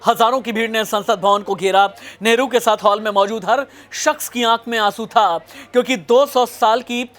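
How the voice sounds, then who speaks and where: High-pitched male storytelling news presenter. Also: fairly even intonation, normal speed, few pauses, fairly bright tone. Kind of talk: dramatic historical storytelling narration.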